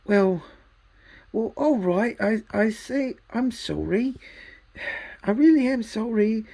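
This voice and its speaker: A woman's voice: a little high, animated, slow, mellow, pitched above the performer's own voice, full character voice. A woman voicing an anxious, flustered young English boy in a storybook reading, pleading and exasperated. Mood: apologetic.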